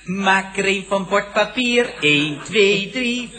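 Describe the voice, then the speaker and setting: An actor playing a deferential, naive office underling, hesitant and earnestly literal, in a radio comedy. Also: male voice, sits high, lively intonation, takes it slowly, a loud crowd. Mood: eager, earnest. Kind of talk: 1970s Dutch radio comedy acting. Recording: old radio recording, audience behind.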